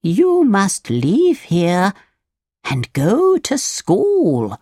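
A woman voicing a kindly, solemn old fairy who gently admonishes in a children's storybook. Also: medium pitch, wildly swooping pitch, breathy edge, no echo, studio quality, pitched above the performer's own voice, full character voice. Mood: advising. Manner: slow, drawn-out, gently admonishing.

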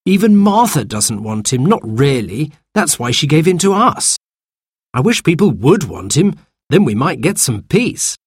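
An exasperated young boy who grumbles and complains, with a slightly raised, lively voice, as a man reading a storybook puts him on. The tone is husky. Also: fairly high pitch, measured pace, dry recording, studio quality, voice pushed up, heavily acted. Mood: grumbling.

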